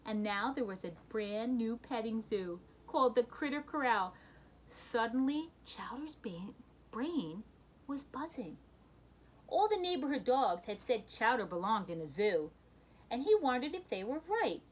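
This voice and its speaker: A woman reading a children's picture book aloud in an expressive storytelling manner, with brief asides to her listeners. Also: on the high side, a little slow, slight background noise, poor audio.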